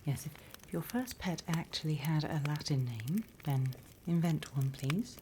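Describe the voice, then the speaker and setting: A British woman whispering in an ASMR roleplay as a stern, dry demon instructor, breathy and close to the microphone. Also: deep voice, expressive. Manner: slow, deliberate, dry instructing. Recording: close whisper with background hiss.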